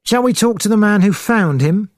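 A man's voice: high voice, animated, moderate pace, no echo, clean studio sound, voice pushed up. A man voicing a grave, grudging police inspector with a formal, questioning manner in a storybook reading. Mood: formal, polite.

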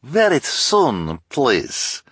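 A man's voice: medium pitch, huge pitch swings, drawn-out words, fairly bright tone, slightly rough, no room sound, studio quality, voice pushed up, full character voice. A man voicing a wheedling, theatrical female fortune-teller who speaks broken English with a strange foreign accent in an audiobook. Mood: coy, reassuring. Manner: broken English, keeps adding "please".

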